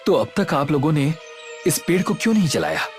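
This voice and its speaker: A man's voice: on the high side, sing-song, a little fast, soft background music, voice pushed up. A man voicing a determined, grieving young man in a horror story, earnest and accusing in manner.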